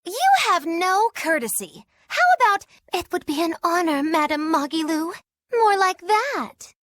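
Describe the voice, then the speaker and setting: A woman's voice: very high-pitched, expressive, measured pace, a bit bright, dry recording, clean studio sound, exaggerated voice. A woman voicing a mischievous, flippant young witch in a video game, teasing and theatrical. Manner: theatrical, teasing, sing-song. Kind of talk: video game cutscene voice acting.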